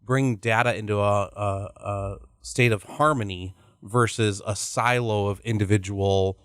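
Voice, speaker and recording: A man hosting a podcast, asking a long, halting question with many restarts. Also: on the low side, steady tone, a bit bright, clear, resonant tone, no echo, studio quality. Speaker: podcast host. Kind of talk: long-winded interview question.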